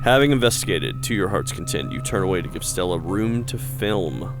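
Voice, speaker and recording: A man's voice: sits low, expressive, a little fast, music in the background. A man hosting a gaming video, reading a horror story aloud expressively and breaking into casual banter. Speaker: gaming channel host and narrator.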